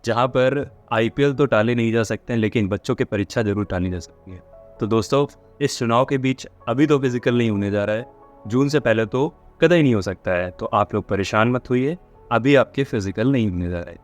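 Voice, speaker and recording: A man's voice: medium pitch, brisk, faint music behind. A man hosts an informal explainer for exam candidates, mixing in English words as he talks. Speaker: host of a job updates channel.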